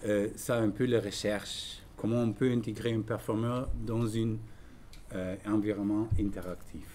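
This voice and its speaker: A middle-aged man giving an artist's talk in a language that is not his first, halting and searching for words with many fillers. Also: lowish voice, steady tone, mellow, slight background noise. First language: not French. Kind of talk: lecture talk.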